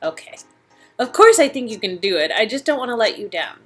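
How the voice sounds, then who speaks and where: A woman's voice: a little high, big pitch swings, a little fast, faint music behind. A woman playing an earnest, modest young aspiring actress, chatting a little hesitantly with an old friend in comedic dialogue. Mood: reassuring, hesitant. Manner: giggly, hesitant, self-effacing. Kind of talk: improvised comedic dialogue performance.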